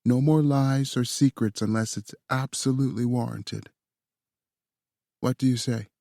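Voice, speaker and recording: A male reader raising his voice to play a forgiving young woman, softer and higher than his narration. She speaks slowly and heartfelt. The sound is dry and close. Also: steady tone, vocal fry, no echo. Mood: tender.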